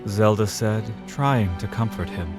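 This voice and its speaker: A man with a low voice narrates a fantasy audio drama. He reads in a measured, dramatic storytelling manner. A loud music score runs beneath his narration.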